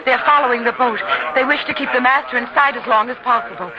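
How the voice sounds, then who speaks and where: A woman's voice: on the high side, fast talker, lightly acted. A woman playing a devout, determined mother in an old-time radio drama, earnest and firm in her delivery. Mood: eager. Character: devout, determined mother, weary but unyielding. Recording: muffled old radio recording, crowd behind.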